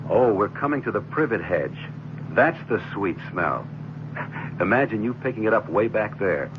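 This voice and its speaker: Patient middle-aged father, low lively voice, reassuring, faintly uneasy, 1970s radio drama, hissy recording. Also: normal speed, highs cut off. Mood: amused, patient.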